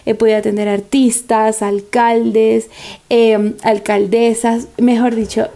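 A woman's voice: on the high side, little pitch movement, slow, vocal fry, mellow. A woman in her twenties with a Venezuelan Andean accent, telling her personal story on a podcast. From Táchira, Venezuela. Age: 20s. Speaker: podcast guest, makeup artist.

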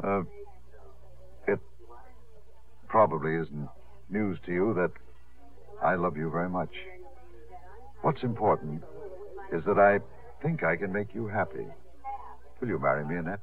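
An actor playing a suave, devoted theatre gentleman in a 1950s radio drama, heard on a tinny broadcast recording. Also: sits low, unhurried, long pauses. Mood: tender.

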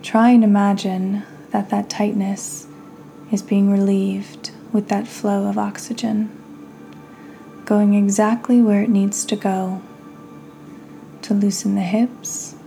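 A woman hosting a guided meditation, speaking soothingly in short phrases with long pauses and breathy endings. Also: mid-range voice, flat delivery, mellow, soft background music. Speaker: podcast host and meditation guide.

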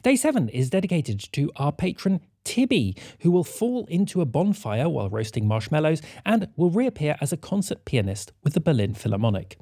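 A man's voice: a little high, sing-song, fairly quick, few pauses, warm tone, slightly rough, no room sound, clean studio sound. A man reading a scripted announcement to listeners as a podcast announcer.

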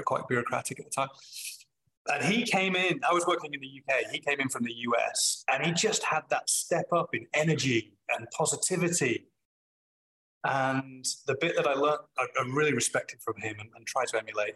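A middle-aged man with a Manchester accent, a guest in an interview, answering thoughtfully with frequent pauses and restarts. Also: mid-range voice, bright, forceful tone, low-quality recording. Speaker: business leader guest.

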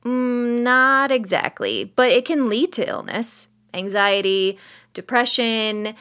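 A woman's voice: fairly high pitch, lively intonation, slow, a bit bright, smooth. A woman playing a wry, haunted, sleepless ER doctor who narrates a horror audio drama in the first person. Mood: measured. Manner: wry, brooding, literary. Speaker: narrator and lead character.

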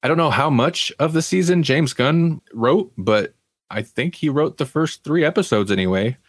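A man's voice: fairly high pitch, animated, moderate pace, no room sound. Casually rambling man with a dry, close sound. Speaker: podcast co-host reviewing TV series. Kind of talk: casual chat.

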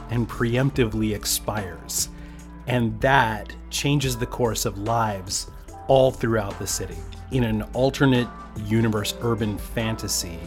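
A male podcast host delivers a scripted introduction that recommends a show to listeners. His voice sits at a middle pitch, with instrumental music playing underneath.

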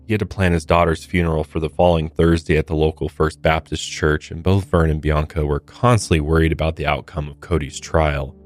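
A man hosting a podcast, narrating a true crime case in a partly scripted style. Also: a bass voice. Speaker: podcast host.

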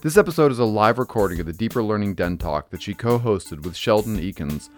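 Calm low-voiced man introducing a podcast. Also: fairly quick. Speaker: podcast host. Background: faint music.

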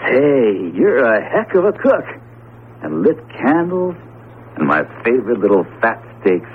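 An actor playing a breezy, self-assured psychology professor who talks colloquially in a radio drama. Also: male voice, mid-range voice, wildly swooping pitch, unhurried. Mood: delighted, jovial. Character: breezy, skeptical male psychology professor, hearty eater. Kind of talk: 1970s radio drama acting. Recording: muffled noisy old radio broadcast.

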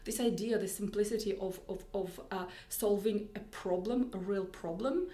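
A woman's voice: medium pitch, moderate pace, warm tone, reverberant. A woman with a Russian accent, a tech executive guest on a podcast, speaking thoughtfully with many restarts and repeated words. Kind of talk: podcast interview.

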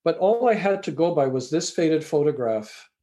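A man's voice: fairly high pitch, expressive, normal speed, dark tone. A middle-aged man from Canada, an author and university lecturer, answering interview questions in a reflective, storytelling way with frequent fillers.

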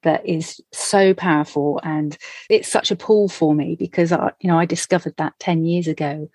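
A woman's voice: low-pitched, fairly even intonation, moderate pace, a little mellow, dry recording. A woman hosting a podcast interview, introducing her guest and asking questions with effusive enthusiasm. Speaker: podcast host.

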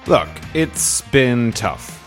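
A man's voice: medium pitch, sing-song, drawn-out words, faint music behind. A man hosting his podcast with a dry, wordy, mock-grandiose manner before interviewing a guest. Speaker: podcast host. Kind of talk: scripted comic monologue and interview.

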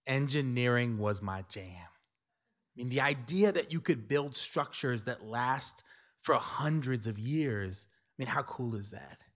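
A middle-aged man from New York tells a personal story in a conversational, emphatic way, tossing in playful asides. His voice sits at an ordinary middle pitch. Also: lively intonation, slow pace, fairly bright tone. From New York City.

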